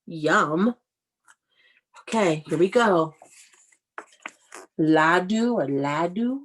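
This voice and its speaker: A woman's voice: fairly low pitch, expressive, very slow, slight background noise, low-quality recording. An encouraging woman teaching young children. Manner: encouraging, praising, instructing step by step. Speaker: elementary school teacher. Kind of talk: interactive lesson for young children.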